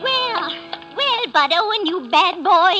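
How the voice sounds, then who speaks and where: Older nursemaid, effusive, bossy, teasing, piercing bright voice, extremely high, 1940s radio drama. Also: female voice, animated, slow, faint music behind, exaggerated voice. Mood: gushing, teasing. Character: doting, scolding old family nursemaid, playful and bossy. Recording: vintage 1940s radio broadcast.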